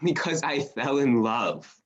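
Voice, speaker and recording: Muffled recording. Warm-hearted young male nephew, acted for radio play; declamatory, sincere, very varied intonation. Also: mid-range voice, big pitch swings, slow. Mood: earnest. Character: cheerful, earnest, warm-hearted young gentleman, Scrooge's nephew.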